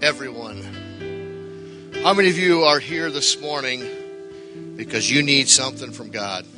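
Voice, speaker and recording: A middle-aged man preaching a sermon, folksy and joking at first, then building to shouted fervor. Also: mid-range voice, normal speed, music in the background.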